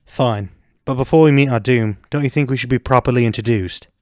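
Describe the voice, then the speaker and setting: A man's voice: mid-range voice, brisk, dry recording, clean studio sound, close to an everyday voice. A man acting a studious, wary teenage schoolboy in a fan fiction audio drama, with a sceptical, grudging manner.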